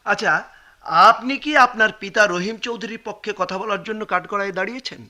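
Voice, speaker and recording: Courtroom radio play: pointed, oratorical advocate, high pitched and piercingly forceful, formal and insistent. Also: male voice, fast. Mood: probing. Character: pointed, formal courtroom lawyer questioning witnesses. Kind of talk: radio drama acting.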